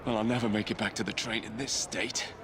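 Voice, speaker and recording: An actor playing a cocky, impatient young English rogue in a video game, wry and exasperated in manner. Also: male voice, on the low side, steady tone, brisk, noisy background, lightly acted. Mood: groggy. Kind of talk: video game cutscene acting.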